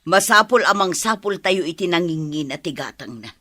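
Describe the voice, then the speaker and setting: A woman's voice: on the low side, animated, normal speed, bright, forceful tone, no room sound. A woman with an Ilocano accent playing an aggrieved villager in a radio drama. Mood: indignant. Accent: Filipino, Ilocano. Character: aggrieved adult villager haggling over produce prices.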